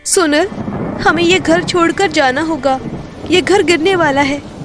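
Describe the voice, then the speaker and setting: A poor, protective elder sister from a bedtime story, played by a woman. The voice is very high and unhurried, with a sorrowful temper. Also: over music.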